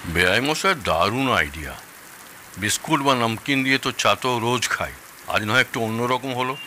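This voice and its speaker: A man's voice: medium pitch, lively intonation, normal speed, sharp tone, slight background noise. A man playing a teasing in-law guest, speaking conversationally in an audio drama. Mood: pleased. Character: teasing, appreciative male in-law guest at tea. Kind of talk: Bengali audio drama acting.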